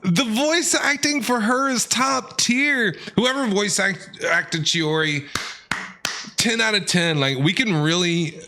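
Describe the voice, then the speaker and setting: A man doing casual, slangy game reaction commentary as a streamer, excitable in manner. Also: high-pitched, takes it slowly, background noise.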